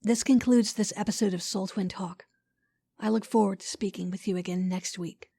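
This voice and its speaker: A woman's voice: medium pitch, fairly even intonation, normal speed, creaky, warm tone, breathy edge, faint music behind. A woman reading a scripted outro as a podcast host.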